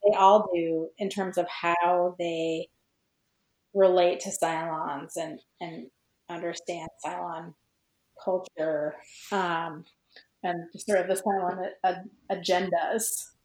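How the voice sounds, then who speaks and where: A woman's voice: fairly low pitch, little pitch movement, slow, a little mellow. Hesitant woman guest in an echoey room. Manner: hesitant, searching for words, many "like"s. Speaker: podcast guest, fan fiction writer. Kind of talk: casual, reflective chat about own writing. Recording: roomy, echoey sound.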